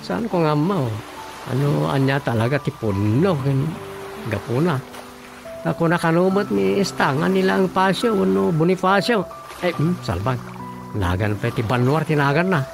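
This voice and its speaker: A gossipy, flustered male councilman in an Ilocano radio comedy. His voice sits somewhat high, and he talks in hesitant, exclaiming bursts. Music plays behind him. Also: lively intonation, normal speed, creaky. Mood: gossipy, exasperated. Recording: music bed under the dialogue. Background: background music.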